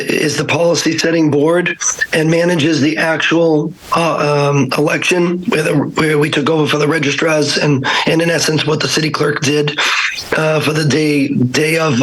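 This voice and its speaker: A hoarse man, an elections official, answering questions remotely at a council meeting, halting with many fillers. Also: fairly high pitch, fairly bright tone, low-quality recording. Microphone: video call.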